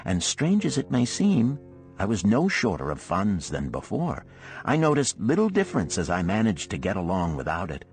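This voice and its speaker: A man narrating a parable as a scripted audiobook, in a measured, expressive storytelling manner. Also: on the low side, faint music behind.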